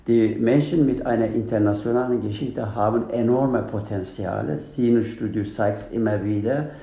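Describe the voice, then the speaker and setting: A man speaks earnestly as a panel guest, his German halting and full of restarts. His voice is low, dark and gravelly, heard in an echoey room. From Turkey. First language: Turkish. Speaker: guest, candidate for integration council. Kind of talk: panel discussion.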